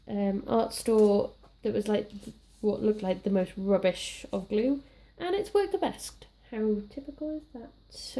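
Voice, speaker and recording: A young Welsh woman, a little croaky from being sick, chatting casually and rambling while she works on a scrapbook page. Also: fairly high pitch, dark tone, poor audio. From Wales. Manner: chatty, rambling, self-deprecating. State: slightly croaky from illness. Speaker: scrapbooking crafter. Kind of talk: casual crafting chat.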